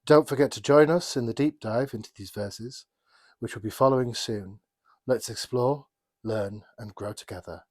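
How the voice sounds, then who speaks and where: Podcast host, sex unclear, mid-pitched, scripted greetings and sign-offs, dry close sound. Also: moderate pace, a little mellow, dry recording.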